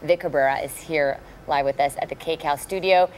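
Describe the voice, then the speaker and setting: A woman's voice: low-pitched, steady tone, brisk, darkish tone. American woman TV interviewer, faint background noise. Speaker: TV news anchor and interviewer. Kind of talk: broadcast interview, conversational. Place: TV studio.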